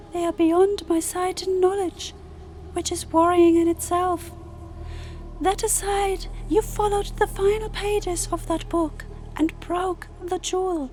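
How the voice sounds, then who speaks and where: Woman as a very high-voiced solemn celestial sister. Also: a little mellow, slight background noise. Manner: formal, measured, lecturing. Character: solemn, self-righteous female celestial sister, ancient and knowing.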